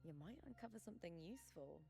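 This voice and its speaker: A spirited warrior champion speaks with theatrical confidence, as in a fantasy video game. Her pitch sits in a middle range for a woman, and music plays clearly behind her. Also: animated, fast talker, warm tone. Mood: inviting, playful.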